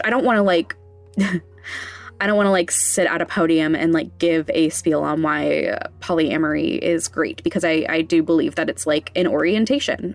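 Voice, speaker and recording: A woman with a fairly low voice talks at a very slow pace, as a podcast host speaking off the cuff. She is candid but halting and a little self-conscious. The sound is dry and close, with music behind her. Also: no echo. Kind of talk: candid, casual podcast talk.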